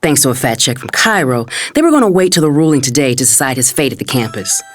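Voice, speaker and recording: Actress as low-voiced, indignant mother. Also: big pitch swings, fast, soft background music, full character voice. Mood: tense. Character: indignant, protective mother of grown children. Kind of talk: first-person dramatized audiobook narration.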